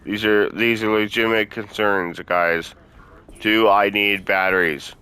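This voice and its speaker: A man's voice: on the low side, steady tone, unhurried, sharp tone, smooth. A man giving casual let's-play commentary, talking informally to his viewers and swearing freely. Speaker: gaming video host.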